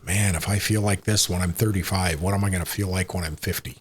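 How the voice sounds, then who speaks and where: A man in his sixties with an American accent, chatting casually as a podcast guest in a rambling, unhurried way. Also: sits low, steady tone, breathy edge. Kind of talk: casual conversational interview.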